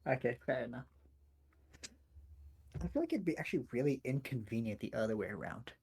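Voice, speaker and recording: A man's voice: medium pitch, sing-song, brisk, gravelly, darkish tone, breathy edge. A young man co-hosting a podcast, making dry, wry remarks in casual chat. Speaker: podcast co-host.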